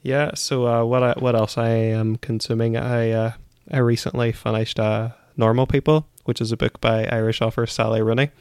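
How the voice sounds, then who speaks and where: A man's voice: mid-range voice, flat delivery, moderate pace, few pauses, darkish tone, smooth, no room sound, studio quality. A man co-hosting a podcast, giving his opinions and recommendations in casual chat. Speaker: podcast co-host.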